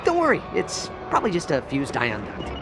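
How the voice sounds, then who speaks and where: An actor playing a cocky, easygoing young alien pilot hero in a video game, casual and confident. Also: male voice, fairly high pitch, wildly swooping pitch, fairly quick, noisy background.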